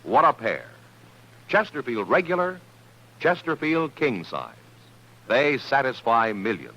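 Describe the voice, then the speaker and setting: Bright, punchy vintage radio announcer man. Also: medium pitch, sing-song, measured pace. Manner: punchy, polished salesmanship. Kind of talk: 1950s cigarette advertisement read. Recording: dull vintage radio recording.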